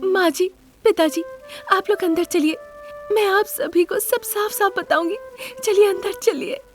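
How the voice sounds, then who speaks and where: Self-sacrificing young daughter-in-law for a moral tale female reader acting, high-pitched, respectful, pleading, music underneath. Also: measured pace. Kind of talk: moral story character voice.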